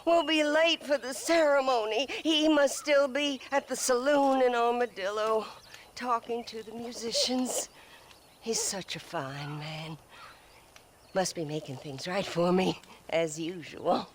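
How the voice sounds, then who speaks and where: An actress playing a deluded, genteel, fragile bride in a western video game. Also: female voice, fairly high pitch, measured pace, background noise, natural voice. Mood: wistful, dreamy.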